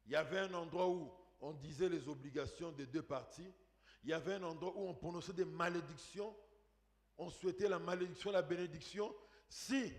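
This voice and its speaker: High-voiced preacher man in an echoing hall. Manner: emphatic, rhetorical questions, dramatic swells and drops. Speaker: pastor preaching. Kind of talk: sermon. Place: church hall. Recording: echoey hall with long reverb.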